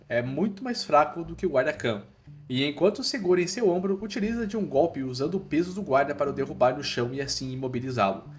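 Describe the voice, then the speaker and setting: A Brazilian man narrates a scripted recap in an informal, slangy way. His voice sits a little high and swings with very animated intonation. Faint music plays underneath the narration. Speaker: narrator of manhwa recap videos.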